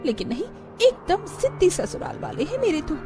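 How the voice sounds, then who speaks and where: A woman's voice: very high-pitched, big pitch swings, fairly quick. A woman voicing a young newlywed daughter-in-law in a cartoon, whiny, dramatic and delicate. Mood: grumbling. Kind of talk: Hindi cartoon story dialogue. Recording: music behind the voice.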